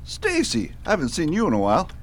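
An actor playing a steady, friendly town marshal in a western radio drama, asking questions in a folksy, matter-of-fact way. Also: male voice, high voice, fast, slight background noise, exaggerated voice.

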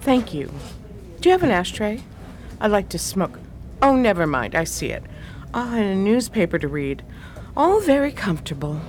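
An actress playing a shrewd, cool 1920s adventuress who talks tough and wry in a radio crime drama. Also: female voice, mid-range voice, big pitch swings, moderate pace, long pauses, slight background noise, lightly acted. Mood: polite, cool. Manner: wry, slangy, tough talk.

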